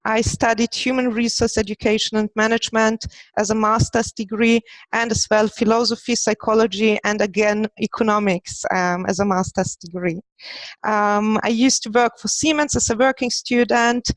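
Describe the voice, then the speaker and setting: Female podcast guest from Germany, thirties, recruiter, fairly high voice, dry headset sound. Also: fairly even intonation, moderate pace, no echo. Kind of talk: conversational interview. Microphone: headset on a video call.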